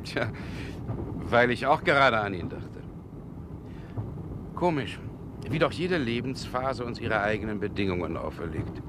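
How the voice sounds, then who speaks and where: An actor playing a brooding, haunted Russian gentleman in a German radio drama, speaking gravely and haltingly. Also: medium pitch, lively intonation, lightly acted. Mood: musing, relieved. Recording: 1960 radio recording, some background noise.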